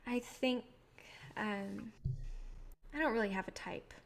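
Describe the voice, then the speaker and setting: A woman's voice: fairly high pitch, slow pace, creaky, a little hiss or hum. A woman playing a guarded, idealistic filmmaker, wry and self-deprecating, in a naturalistic staged play reading. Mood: wry, deflecting.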